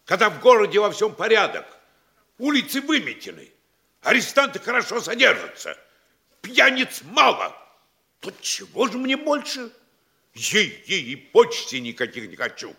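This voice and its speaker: A man's voice: sits high, sing-song, moderate pace, vocal fry, sharp tone, heavily acted. A man playing a fawning, self-righteous middle-aged provincial mayor in a theatrical audio comedy, obsequious and pompous. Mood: pompous, self-praising. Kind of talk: classic Russian stage-comedy radio acting.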